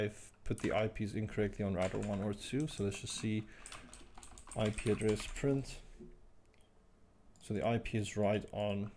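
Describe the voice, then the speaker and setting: A man hosting a live tech stream, thinking aloud as he types commands, his voice croaky today. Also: on the low side, a little mellow, slight background noise. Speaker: livestream host. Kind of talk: casual live tech walkthrough.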